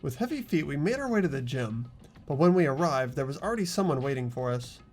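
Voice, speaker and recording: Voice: medium pitch, very animated, fast talker, darkish tone, faint music behind. A performer voicing an earnest, hesitant ordinary teenage boy who narrates and speaks in a fan-dubbed visual novel. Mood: narrating.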